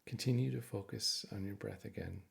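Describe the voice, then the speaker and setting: A man leads a guided meditation in a low voice with a lot of creak and gravel in it. He gives gentle instructions and speaks reassuringly, like a guide walking someone through calming exercises. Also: a little fast.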